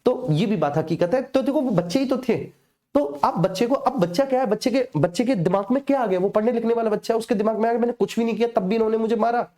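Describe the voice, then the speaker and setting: A man's voice: extremely high pitch, fast, mellow. A man with a North Indian accent teaching a live online exam class in a colloquial, didactic way, often checking in with short tag phrases. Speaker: coaching teacher. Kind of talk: live online exam lecture with personal anecdotes.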